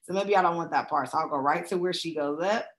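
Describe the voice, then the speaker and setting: A chatty woman walks through a tutorial at an easy pace. Her voice sits a little low and has a slight rasp. She keeps checking in with her listeners as she goes. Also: quick pace. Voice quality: raspy. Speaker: travel agent teaching video making. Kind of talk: screen-recorded tutorial walkthrough.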